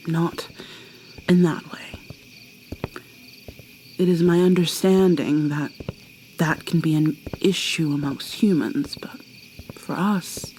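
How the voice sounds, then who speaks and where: A woman playing an ancient vampire mermaid in an ASMR roleplay, whispering softly and haltingly with a wistful manner. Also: low voice, a little hiss or hum, rough recording. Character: ancient female vampire mermaid, guarded and sorrowful.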